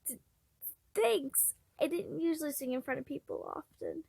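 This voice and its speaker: A woman voicing a shy, gentle man in love from a story, soft and hesitant. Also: sits high, lively intonation, moderate pace, vocal fry, dry recording, pitched above the performer's own voice. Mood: bashful.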